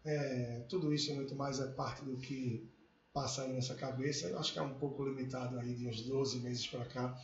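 A man's voice: mid-range voice, steady tone, normal speed, a little hiss or hum. A man in casual conversation, talking reflectively and with animation about family, hobbies and life. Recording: muffled echoey room, faint ambience.

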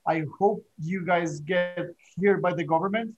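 Higher-pitched man, dull lo-fi sound. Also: over a noisy crowd. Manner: halting, repeats phrases. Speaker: podcast panelist, mountaineer. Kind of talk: casual interview chat. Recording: dull lo-fi connection.

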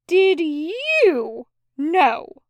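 Woman voicing an emphatic high-pitched angry sister. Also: wildly swooping pitch, drawn-out words, dry recording, dull audio, heavily acted. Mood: betrayed, demanding. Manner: emphatic, sweary outbursts. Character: angry, hurt, blunt sister, feeling betrayed.

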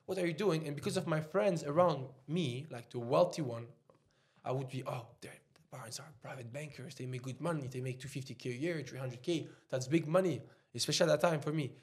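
A man's voice: fairly high pitch, fairly quick. A man from Switzerland with a French accent, a podcast guest talking casually about trading and money, leaning on "like" and "you know.". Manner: loose and chatty, leans on "like" and "you know". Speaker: interview guest, trader and investor.

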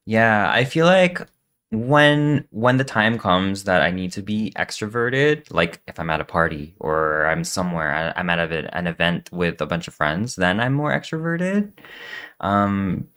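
A man from the Philippines co-hosting a podcast, answering questions in an easygoing, thoughtful way with soft laughs. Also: deep voice, expressive, a little slow, clear, resonant tone. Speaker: podcast co-host, streamer. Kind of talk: casual chat.

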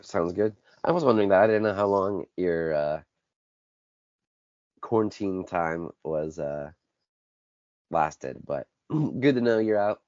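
A man's voice: deep voice, slow pace, long pauses, a little mellow, dry recording. A teenage boy co-hosting a casual sports podcast, a bit congested with a dry throat, rambling and correcting himself as he talks. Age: teens. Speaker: podcast co-host. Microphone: basic home podcast setup. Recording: dull lo-fi dry recording.

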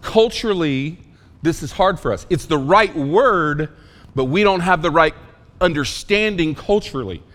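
A man preaching a sermon in a casual, emphatic way, with asides and quick questions to the congregation. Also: fairly high pitch, expressive, a bit bright, big-room sound. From Oklahoma. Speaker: pastor.

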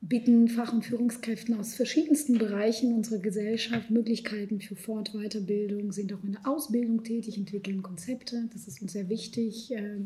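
A middle-aged woman born in Ukraine and raised in Israel, speaking as a psychologist on a discussion panel, thoughtful and hesitant with frequent fillers and soft trailing asides. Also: a little high, rough recording.

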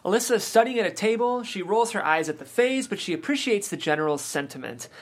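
A woman reading the scene headings and action lines of a script at a table read, in a plain, brisk way. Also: mid-range voice, expressive. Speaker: stage direction reader.

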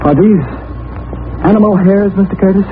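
A man's voice: high voice, normal speed, noisy background, natural voice. An actor playing an earnest, determined young man, polite but urgent and insistent, in a 1940s radio drama. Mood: intent. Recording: tinny old radio recording with organ music.

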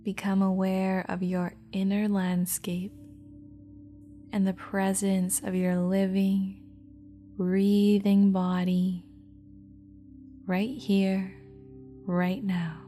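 Woman, meditation guide, half-whispered, mellow, faint music behind. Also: medium pitch, flat delivery. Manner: soft, slow, half-whispered guidance.

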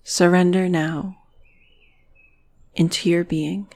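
A woman guiding a meditation in a soothing, breathy manner, with phrases often trailing off into a whisper. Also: lowish voice, level tone, slow pace, mellow. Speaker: meditation guide. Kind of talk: guided meditation narration.

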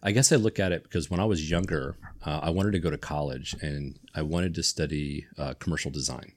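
A man with an extremely low, deep voice hosts a casual podcast conversation. He rambles, restarts his sentences and gets enthusiastic about his ideas. He sounds middle-aged. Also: a little fast. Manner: rambling, self-correcting, enthusiastic. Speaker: podcast host. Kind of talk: casual chat.

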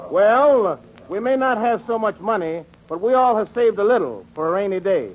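An eager meeting member in an old radio drama, high-voiced and persuasive. His delivery is very animated, heard through a tinny 1940s recording. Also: normal speed.

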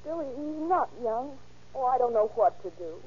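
An actress playing a hesitant, soft-hearted young woman in an old-time radio drama. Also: a little high, animated, normal speed, breathy edge. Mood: troubled, wavering. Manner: hesitant, wavering. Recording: muffled, hissy vintage radio recording.

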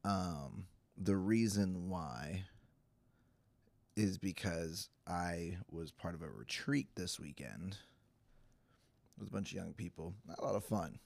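Hoarse, gravelly-voiced man teaching casually. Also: sits low, slow, long pauses, a little mellow, no echo. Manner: chatty, self-deprecating, informal. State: hoarse, stuffy voice. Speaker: pastor. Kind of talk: casual livestreamed Bible teaching.

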